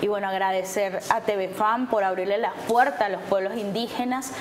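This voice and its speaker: Measured woman legislator, Warao. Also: medium pitch, fairly even intonation. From Venezuela. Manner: measured, deliberate, pausing between phrases. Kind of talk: TV interview answers. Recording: noticeable background noise.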